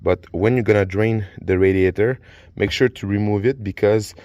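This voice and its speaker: A middle-aged man with a French accent, a native French speaker, hosting a car repair channel and explaining a coolant change in a halting, casual way with many fillers. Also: fairly low pitch, mellow, no echo, dull audio. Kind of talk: casual how-to demonstration.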